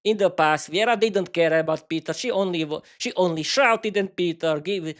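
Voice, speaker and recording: A man with a Slovak accent, a native Slovak speaker, hosting a casual live-stream podcast in a halting way with many restarts. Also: high-pitched. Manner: halting, many restarts and fillers, talks to himself by name. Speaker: podcast host.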